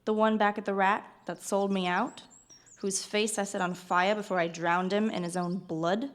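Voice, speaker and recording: An actress plays a brazen fantasy rogue with a sharp tongue. Her voice is mid-range for a woman, and she talks in a blunt, sardonic, needling way, as in an audio drama. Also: mid-range voice, fairly even intonation, moderate pace, lightly acted. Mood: taunting, blunt.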